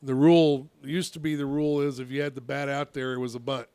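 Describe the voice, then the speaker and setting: Man, youth coach, radio talk show, loud natural voice, restarts a lot, middle pitch, dry studio sound. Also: little pitch movement, quick pace, smooth, no echo. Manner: storytelling with many restarts, acts out dialogue. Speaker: youth softball coach and co-host.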